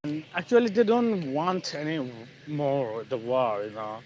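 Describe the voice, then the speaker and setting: A man with a Bangladeshi English accent talking casually on a live stream. He speaks haltingly, with many fillers and restarts, while walking outdoors in the evening. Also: a little high, darkish tone, slight background noise, low-quality recording. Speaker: channel host, English learner.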